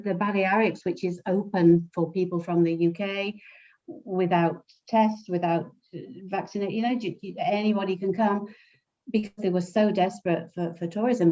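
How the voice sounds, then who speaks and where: A woman on a panel discussion, talking in an animated way and often repeating words as she thinks. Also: fairly low pitch, muffled recording. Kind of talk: video call panel discussion.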